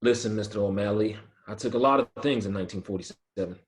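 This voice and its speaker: Low-voiced actor as a dignified ballplayer, a man. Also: close to an everyday voice. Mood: firm, defiant. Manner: measured, earnest. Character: proud, firm, dignified male ballplayer standing his ground. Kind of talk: dramatic table read.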